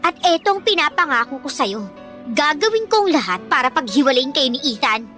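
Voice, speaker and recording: A woman's voice: high-pitched, very animated, normal speed, bright, forceful tone, soft background music, exaggerated voice. A woman playing a mean, haughty teenage schoolgirl bully in a radio drama, taunting and sneering theatrically with a Filipino accent. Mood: spiteful.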